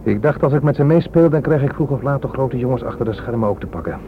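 A man's voice: medium pitch, expressive, fast, close to an everyday voice. A man acting a weary, corrupt police inspector in a radio play, frankly explaining and justifying himself. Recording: muffled lo-fi radio recording, strong noise.

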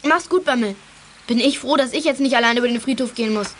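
A woman's voice: on the high side, quick pace, natural voice. A female voice playing an anxious little girl detective in a children's audio drama.

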